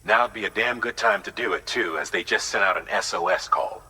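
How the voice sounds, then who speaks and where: An actor voicing a gruff, businesslike commander in a video game, husky, with an urgent briefing manner. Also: male voice, lowish voice, brisk, pushed and bright, full character voice. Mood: brisk, urgent. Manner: urgent, plain-spoken briefing. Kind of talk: video game cutscene acting.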